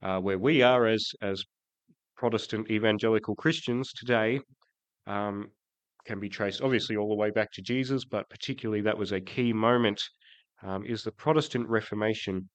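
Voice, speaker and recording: A man preaching a sermon in church, croaky from a cold, hesitant, with many ums and restarts. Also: fairly low pitch, moderate pace, no echo. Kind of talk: sermon with prayer.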